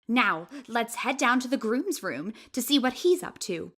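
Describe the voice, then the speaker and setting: Perky, animated teenage girl vlogger, played by a woman. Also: a little high, measured pace, full character voice. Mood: perky, excited. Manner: chirpy, presenter-like, addressing an audience. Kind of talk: audio drama acting.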